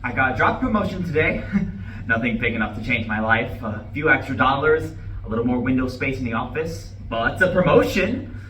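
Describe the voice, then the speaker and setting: A man's voice: medium pitch, expressive, brisk, pushed and bright. A man reading the part of an earnest, defensive office-worker husband in a live play reading, with a hesitant, over-explaining manner. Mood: hopeful, chatty. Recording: distant mic, echoey room, background noise.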